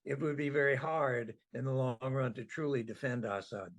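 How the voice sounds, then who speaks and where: A man's voice: medium pitch, a little slow. A man speaking as an interview guest, giving conversational political analysis.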